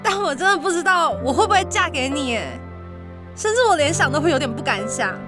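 Woman acting a high, expressive Taiwanese girlfriend. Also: fairly quick, music in the background. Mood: sad, resigned. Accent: Taiwanese Mandarin. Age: young adult. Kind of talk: audio drama acting.

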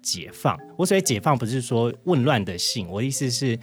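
A man's voice: medium pitch, big pitch swings, brisk, faint music behind. A man with a Taiwanese Mandarin accent answering interview questions in a reflective, candid, conversational way. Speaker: podcast guest, interviewee.

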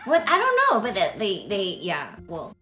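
Bright, animated woman podcast host. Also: mid-range voice, faint music behind. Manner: animated, teasing, quick to laugh. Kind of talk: casual chat. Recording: roomy echoey recording.

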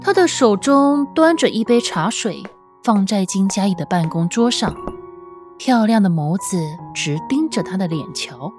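A woman reading scripted fiction as an audiobook narrator, with an expressive, measured, dramatic storytelling delivery. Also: medium pitch. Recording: music under the voice. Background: background music.

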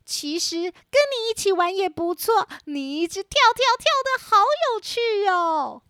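A woman's voice: extremely high pitch, lively intonation, measured pace, no room sound, clean studio sound, pitched above the performer's own voice. A woman voicing a spoiled, willful young princess with a petulant girlish manner in a children's audio drama. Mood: grateful.

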